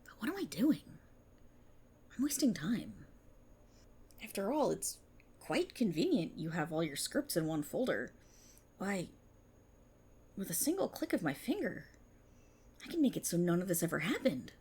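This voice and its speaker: A woman's voice: on the low side, big pitch swings, moderate pace, long pauses, a little mellow, husky, exaggerated voice. A woman performing an ASMR roleplay as a smug, vindictive multiverse police officer, with a theatrical, sarcastic and mocking delivery. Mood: gloating, vindictive.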